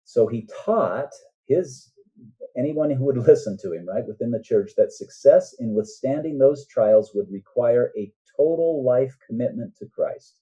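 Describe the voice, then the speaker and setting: A man speaking as a guest author in an interview, in an earnest, halting manner with deliberate pauses. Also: mid-range voice, animated, dark tone. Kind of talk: conversational interview answers. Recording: muffled, dull band-limited sound.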